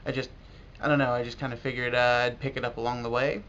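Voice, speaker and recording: An American man talking casually and reflectively in a video blog as a language learner, halting now and then with pauses. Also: medium pitch, level tone, a bit bright. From United States. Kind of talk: casual talk to camera about learning. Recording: dull lo-fi audio with clear noise.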